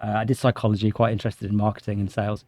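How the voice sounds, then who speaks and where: A man's voice: on the low side, little pitch movement, rapid-fire, darkish tone, no echo, clean studio sound. A man of thirty-nine with an English accent, chatting casually and telling informal anecdotes as a podcast guest. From England, grew up in Shropshire. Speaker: podcast guest, sales director. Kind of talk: casual conversational storytelling.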